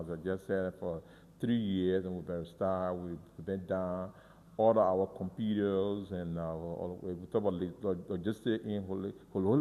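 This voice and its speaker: Halting man in an echoey hall. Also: sits low, mellow. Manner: earnest, halting, often repeats and restarts words. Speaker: museum guide. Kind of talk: guided tour interview. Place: museum.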